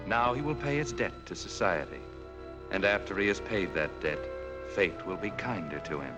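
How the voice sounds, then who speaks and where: An actor playing an all-knowing, portentous narrator of fate, in 1940s dramatic radio style. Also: male voice, low-pitched, expressive, normal speed. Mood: ominous, knowing. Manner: portentous, moralizing. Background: loud dramatic film music.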